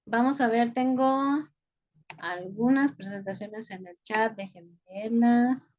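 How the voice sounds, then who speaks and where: A woman's voice: fairly high pitch, takes it slowly, a little mellow. A Mexican woman running an online class, chatty and full of filler words, reading out chat messages. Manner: chatty, frequent "este" fillers. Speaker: online course teacher. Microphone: video call. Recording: muffled lo-fi video-call audio.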